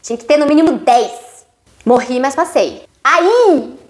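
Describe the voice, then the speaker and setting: Young brazilian girl vlogging about uni, kinda high voice, chatty, talks right at you. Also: measured pace. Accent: Brazilian Portuguese. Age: young adult. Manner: chatty, addresses viewers directly, lively asides. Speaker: university student vlogger. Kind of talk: casual explanatory vlog.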